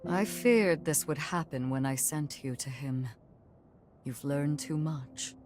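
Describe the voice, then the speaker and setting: Low, slow, grave noble lady. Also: music in the background. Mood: grave, firm. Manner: solemn, deliberate, formal. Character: grave, composed, authoritative noble lady, guarded mentor. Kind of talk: video game cutscene acting.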